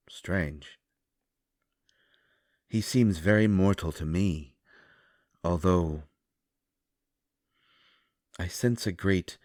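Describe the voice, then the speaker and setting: A man voicing an ancient, courteous vampire who speaks with formal, measured, deliberate phrasing in an audio roleplay. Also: sits low, a little mellow, clean studio sound. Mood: grave, comforting. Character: ancient, courteous, knowing male vampire speaking softly and gravely. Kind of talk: scripted immersive audio roleplay. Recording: roomy echo, faint music behind. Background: faint music.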